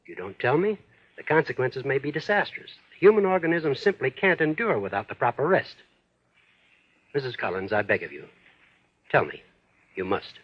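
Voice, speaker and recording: A self-assured, persuasive doctor in an old radio play. His voice is husky and moves widely in pitch, formal and earnest, on a muffled recording. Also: sing-song, moderate pace, long pauses. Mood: insistent. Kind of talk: 1940s radio drama acting.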